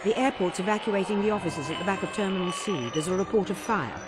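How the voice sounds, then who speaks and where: An older woman with a British accent playing a stern, commanding intelligence chief in a video game, clipped and sharp-tongued. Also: medium pitch, quick pace, close to an everyday voice. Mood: brisk, dry.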